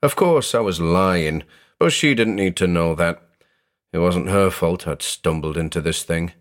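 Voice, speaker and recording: A man narrating a first-person horror story in a measured, dramatic way. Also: deep voice.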